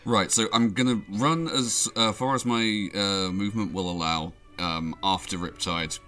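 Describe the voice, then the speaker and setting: A man from southern England with a southern English accent, playing in a tabletop game with animated, joking talk. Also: lowish voice, unhurried, faint music behind. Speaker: player. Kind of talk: casual tabletop game play.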